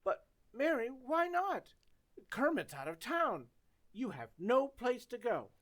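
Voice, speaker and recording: A woman playing an anxious, excitable teenage boy with whiny, flustered exclamations in old-time radio comedy style. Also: on the high side, slow, no echo, faint music behind. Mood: pleading.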